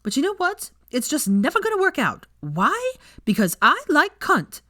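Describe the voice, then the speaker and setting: A woman's voice: high-pitched, huge pitch swings, moderate pace, pushed and bright, dry recording, studio quality, voice pushed up, full character voice. A woman voicing a brash, foul-mouthed young witch in audiobook dialogue, emphatic and exasperated. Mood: angry ranting.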